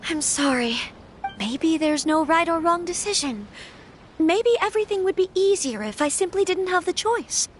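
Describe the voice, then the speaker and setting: An actress playing a gentle young noblewoman in an anime fantasy game, troubled yet firm, with soft, earnest, formal phrasing. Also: extremely high pitch, animated, moderate pace, a little hiss or hum. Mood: troubled, reflective.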